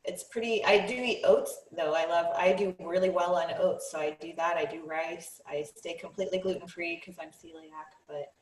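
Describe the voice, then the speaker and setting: A woman's voice: on the low side, fairly even intonation, normal speed, hardly any pauses, roomy sound, distant mic, slight background noise, poor audio. A woman talking casually as a nutrition coach guest, chatty and given to laughing and trailing off mid-sentence. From Northern European descent. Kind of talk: casual interview chat.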